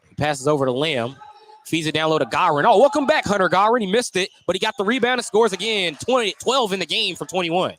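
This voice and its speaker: A man's voice: high voice, lively intonation, quick pace, fairly bright tone. An American man doing live radio play-by-play commentary of a basketball game as the announcer.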